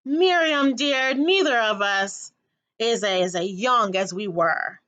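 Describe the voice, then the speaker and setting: A woman voicing an elderly retired actress in a storybook, dramatic and drawn-out in delivery. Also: on the high side, fairly bright tone. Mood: argumentative, insistent. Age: older.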